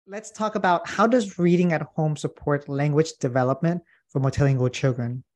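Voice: mid-range voice, normal speed, darkish tone. A podcast host asking a guest short, direct questions. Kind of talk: interview questions.

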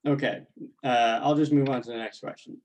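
A man acting in improvised comedy with a hesitant, polite, deadpan delivery. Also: mid-range voice, brisk.

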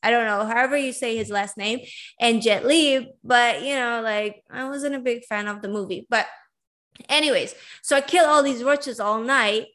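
A woman's voice: fairly high pitch, a bit bright. A young adult woman, born in Iran and raised in Sweden, hosting her own podcast and chatting casually about her life with many fillers and asides. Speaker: podcast host. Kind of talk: casual personal storytelling.

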